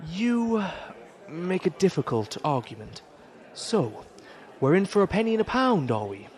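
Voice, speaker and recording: An actor playing a proud, skeptical pirate admiral who talks formally and deliberately, in an audio drama. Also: male voice, high-pitched, big pitch swings, darkish tone. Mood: grudging.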